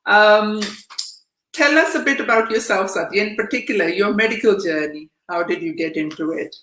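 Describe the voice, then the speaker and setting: A woman hosting a talk show interview, who says she has a loud voice, chatting casually. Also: mid-range voice, normal speed, reverberant, low-quality recording. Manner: self-described loud voice.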